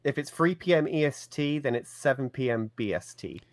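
A man's voice: mid-range voice, lively intonation, a little fast, no echo. A man co-hosting a casual live-stream chat, easygoing with brief replies. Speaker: podcast co-host.

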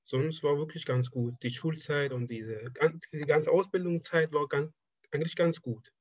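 A young man from Afghanistan with Persian as his first language answers questions in a relaxed, halting way, often restarting his phrases. His voice is fairly high and a bit husky. The sound is dry and slightly muffled. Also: dry recording. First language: Persian (Dari). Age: 20s. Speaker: interviewee, trained draftsman. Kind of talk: casual conversational interview.

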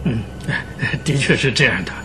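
A man's voice: medium pitch, expressive, measured pace, sharp tone. An actor playing a dejected, nervous male racehorse owner in a radio drama, speaking faintly with hesitant deference. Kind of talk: Chinese radio drama acting. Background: background music.

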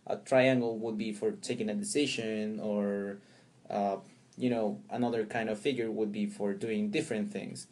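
Low-voiced Peruvian man telling a story. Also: fairly even intonation, moderate pace, darkish tone. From Peru. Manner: rambling storyteller with many fillers and restarts, laughs often. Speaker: guest. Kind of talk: casual interview chat.

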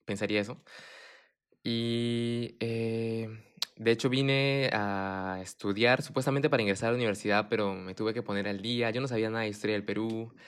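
A young man, a podcast guest chatting in a relaxed way with lots of fillers and restarts. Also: fairly low pitch, moderate pace, clean tone. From Japan. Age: young adult. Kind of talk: casual chat.

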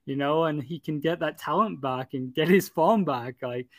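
A man's voice: fairly high pitch, moderate pace, dry recording. A man from Manchester, chatting casually about football, rambling with many fillers and restarts. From Manchester, England. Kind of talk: casual chat.

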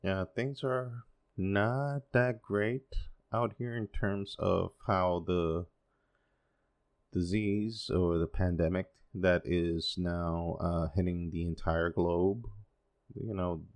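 A man's voice: low-pitched, fairly even intonation, slow. A man in his forties whose first language is Spanish, rambling through a solo podcast with wry, sarcastic asides. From Dominican Republic. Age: 40s. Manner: self-deprecating, wry and sarcastic, with many fillers. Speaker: podcast host.

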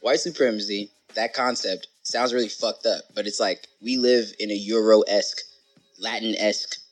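Low-voiced man rambling animatedly. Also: moderate pace, soft background music. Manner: animated, rambling, says "like" a lot. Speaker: podcast guest. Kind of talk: casual podcast conversation.

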